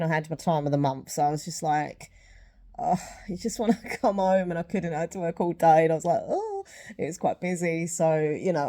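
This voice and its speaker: Chatty woman with a low, mellow voice. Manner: chatty, rambling with fillers. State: slightly sniffly, sore throat. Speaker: vlogger. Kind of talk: casual vlog chat.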